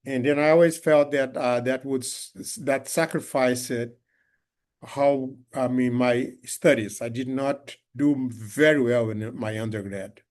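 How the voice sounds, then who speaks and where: Brazilian-accented man, Portuguese native, halting guest speaker on a call, lots of restarts. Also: mid-range voice, steady tone, a little mellow. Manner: halting, many restarts and fillers. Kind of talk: online talk. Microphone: video call.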